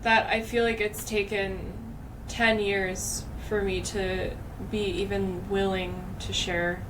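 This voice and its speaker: A woman's voice: medium pitch, little pitch movement, slow. A woman hosting a podcast in casual, reflective chat, thinking aloud with many fillers. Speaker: podcast host. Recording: distant mic, background noise.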